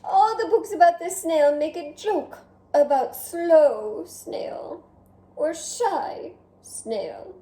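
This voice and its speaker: A vain, dramatic male French snail from a storybook, as a woman reads him aloud, full of himself and talking straight to the listener. The voice sits high but mellow and unhurried. The sound carries a roomy echo. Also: animated, exaggerated voice. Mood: vain, theatrical. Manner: theatrical, self-admiring, addresses listener directly.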